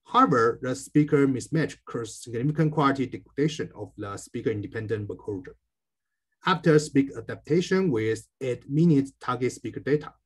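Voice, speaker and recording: Husky man presenting research. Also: mid-range voice. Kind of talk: scripted academic presentation narration.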